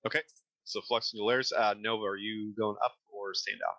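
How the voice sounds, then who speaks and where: A man's voice: lowish voice, steady tone, brisk, sharp tone, no room sound, muffled recording. A man acting as game master, narrating a tabletop fantasy game in a casual, improvised way.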